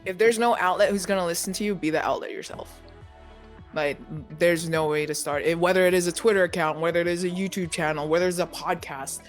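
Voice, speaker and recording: A young-adult multimedia journalist answering panel questions quickly and animatedly, full of "like" and "you know", over a video call. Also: mid-range voice. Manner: fast, animated, many fillers like "like" and "you know".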